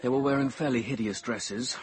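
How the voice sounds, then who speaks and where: An actor playing a brooding, obsessive young man who narrates his confession in measured, intense tones, at times near a whisper. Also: mid-range voice, a crowd in the background, lightly acted. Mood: entranced. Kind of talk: radio drama first-person narration.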